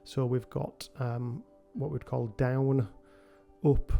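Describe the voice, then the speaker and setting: Gravelly man with a head cold. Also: medium pitch, slow, highs cut off, soft background music. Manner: relaxed, thinking aloud with many ums. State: has a cold. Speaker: game developer tutorial host. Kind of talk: screen-recorded software tutorial.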